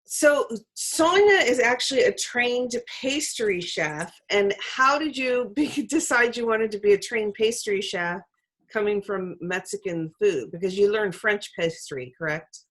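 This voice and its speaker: A woman acts as host of an informal interview. Her voice sits at a medium pitch, and she talks in a casual, conversational style. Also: lively intonation, measured pace, a bit bright.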